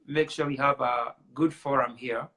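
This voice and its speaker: A man's voice: medium pitch, steady tone, moderate pace, pushed and bright. A man hosting a casual live-stream chat, greeting viewers and talking with many filler sounds. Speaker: show host.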